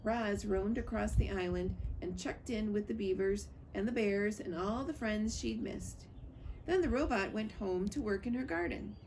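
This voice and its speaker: A woman's voice: medium pitch, moderate pace, creaky, a little mellow, a little hiss or hum, low-quality recording. A woman reading a children's novel aloud as storybook narration.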